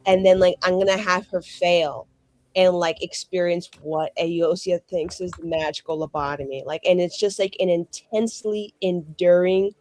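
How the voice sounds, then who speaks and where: A woman talking casually and haltingly as a player in a tabletop game. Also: fairly low pitch, a little mellow. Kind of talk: casual improvised game chat.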